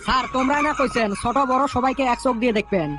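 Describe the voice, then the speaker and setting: An impudent cartoon schoolboy voiced by a woman, pitched fairly high. The pupil talks back bluntly and cheekily over loud background music. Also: rapid-fire, close to an everyday voice. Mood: cheeky. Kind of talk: comedy cartoon dubbing.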